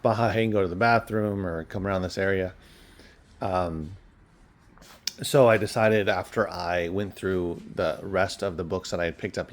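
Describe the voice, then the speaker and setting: Low-voiced man chatting casually. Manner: rambling, unscripted delivery with trailing asides. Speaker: online reseller and bookstore owner. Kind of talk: casual chat.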